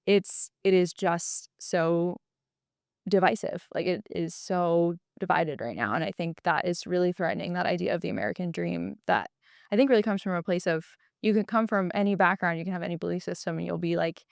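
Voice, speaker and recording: A woman's voice: lowish voice, fairly quick, creaky, no room sound, clean studio sound. A woman in her twenties from Kentucky, a guest telling a story in casual, chatty speech with lots of "like". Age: 20s. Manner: chatty, frequent "like" fillers. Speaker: podcast guest. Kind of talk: casual chat.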